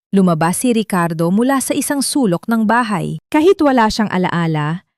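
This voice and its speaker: Female drama narrator, medium pitch, solemn and suspenseful, measured scripted read, dry studio-like sound. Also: a little mellow, dry recording. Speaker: story narrator.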